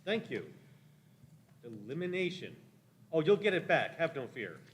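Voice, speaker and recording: A man's voice: fairly high pitch, big pitch swings, unhurried. A man playing a shifty, cowardly, ingratiating time traveler in a radio play, wheedling and evasive. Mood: nervous, eager. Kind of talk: live radio-play acting.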